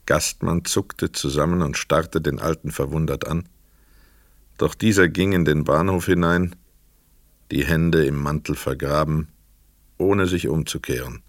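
A man reading scripted literary narration for a radio play in a measured, precise storytelling manner. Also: a bass voice, no echo, clean studio sound.

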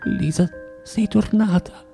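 A man's voice: sits high, takes it slowly, gravelly, dark tone, faint music behind, full character voice. An actor playing a bitter, tormented man in a radio drama, swinging between menacing mutters and dramatic outbursts. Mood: anguished, self-loathing. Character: bitter, tormented man, cruel then self-loathing. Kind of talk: theatrical radio drama acting.

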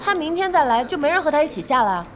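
Lively, fiery woman boss, high-pitched. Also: quick pace. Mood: eager. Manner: exclamatory, expressive. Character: lively, fiery female internet cafe boss, a doting fan. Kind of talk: Chinese audio drama acting. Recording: music behind the voice.

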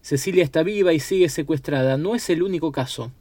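Man narrating in a higher-pitched voice. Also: quick pace, darkish tone, no echo. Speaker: documentary narrator. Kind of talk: scripted narration, reading press reports aloud.

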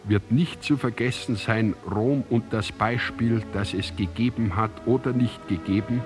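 An actor as the grave narrator of an ancient tragedy in a radio drama, reciting in a measured, solemn, declamatory way. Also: male voice, fairly low pitch, lightly acted. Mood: subdued, grave. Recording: music under the voice. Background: music.

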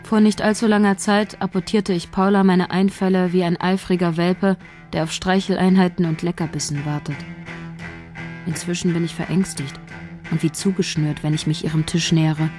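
An actress narrating the quiet, self-doubting inner thoughts of a shy young female scriptwriter in a radio play. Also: on the low side, flat delivery, moderate pace, over music, close to an everyday voice.

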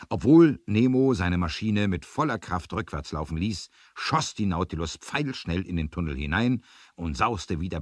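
A man's voice: deep voice, no echo, clean studio sound. A man narrating an adventure radio play from a script in a dramatic storytelling manner.

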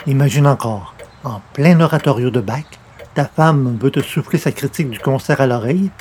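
A man's voice: mid-range voice, moderate pace, a little mellow, slight background noise, natural voice. A man playing a genial, bumbling newspaper columnist in a radio comedy, hesitant and coaxing. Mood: wry. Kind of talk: old-time radio comedy acting.

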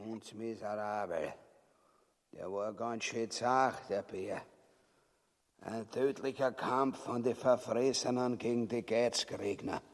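A man's voice: on the low side, steady tone, takes it slowly. A man playing a crude, blustering, gluttonous husband in a radio play, in broad Austrian dialect. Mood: grumbling, groggy.

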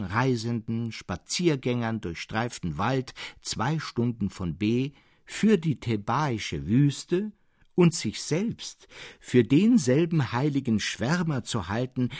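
Husky, lively male literary narrator. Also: medium pitch, dry recording, studio quality.

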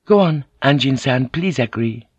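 A man voicing a poised, insistent noble Japanese woman who coaxes and pleads gently. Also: mid-range voice, lively intonation, normal speed, slightly rough, no echo, muffled recording, pitched above the performer's own voice. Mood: coaxing.

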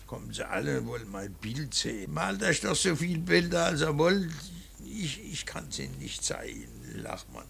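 An actor in a classic radio drama playing a brooding, devout older painter and stern father, speaking haltingly and emphatically, repeating his words. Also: fairly high pitch, wildly swooping pitch, gravelly, fairly bright tone, slight background noise, exaggerated voice. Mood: irritated, reluctant.

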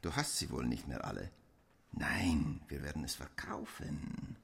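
A man's voice: rumbling low, lively intonation, a little slow, gravelly, fairly bright tone, full character voice. An actor in a German radio play playing a crude, bossy man who acts as mock-solemn officiant, in a theatrical, declamatory way. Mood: gruff, scolding.

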